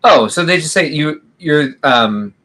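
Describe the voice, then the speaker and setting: A man's voice: a little high, expressive, measured pace, sharp tone. A man co-hosting a casual livestream chat, rambling and reading viewer comments aloud with frequent laughs. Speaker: podcast co-host.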